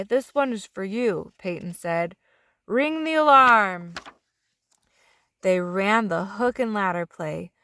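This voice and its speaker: A woman's voice: on the low side, vocal fry, no echo, clean studio sound. A woman reading a children's storybook aloud as a narrator, drawing out the ends of some phrases. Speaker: children's book reader.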